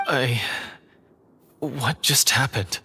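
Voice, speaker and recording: A man's voice: mid-range voice, very slow, gravelly, noisy background. A man voicing an earnest, troubled young treasure hunter with a melodramatic streak in an anime-style game. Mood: dazed.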